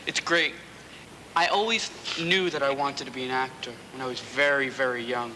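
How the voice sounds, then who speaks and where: A young man chats casually in an interview. His voice has a middling pitch. The recording is clearly noisy. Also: moderate pace, pushed and bright, long reverb tail. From the Bronx, New York. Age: teens to young adult. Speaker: drama student. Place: large school rehearsal hall. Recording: noisy, worn tape copy.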